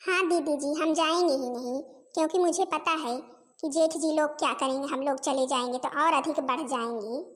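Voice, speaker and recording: Casting: woman acting as a very high-voiced cartoon housewife, put-upon, pleading and talkative. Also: moderate pace, close to an everyday voice. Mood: resolute, aggrieved. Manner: complaining, pleading, addresses relatives and viewers directly. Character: weary, gossipy Indian daughters-in-law in a family cartoon. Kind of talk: Hindi family comedy cartoon voice acting.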